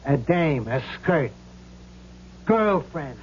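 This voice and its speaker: An actor playing the boastful, vengeful ghost of a dead gambler in a radio drama, talking in tough underworld slang. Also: male voice, a little high, sing-song, very slow, exaggerated voice. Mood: cocky, bitter. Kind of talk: 1970s radio drama acting. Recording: dull, noisy old radio recording with hum.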